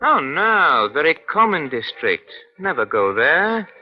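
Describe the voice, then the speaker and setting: A man's voice: a little high, big pitch swings, slow pace. A man playing a cocky, flippant young London hoodlum in his late teens in an old radio crime drama. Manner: flippant, insolent. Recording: muffled old radio recording, music behind.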